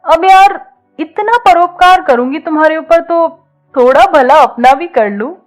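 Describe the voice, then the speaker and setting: A woman's voice: extremely high pitch, unhurried, faint music behind, pitched above the performer's own voice. A woman voicing a teasing, bold young college student who talks in a casual, coaxing way in an audio story. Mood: playful. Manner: playful, coaxing, casual slangy talk.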